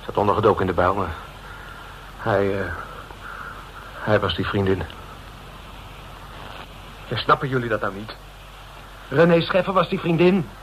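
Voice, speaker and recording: A man's voice: on the low side, a bit bright. Guilt-ridden, stammering man, noisy recording. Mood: agitated, defensive. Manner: halting, stammering, repeats words. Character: frightened, guilt-ridden man confessing to his detective colleagues. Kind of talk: Dutch radio drama acting. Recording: noisy old radio drama recording.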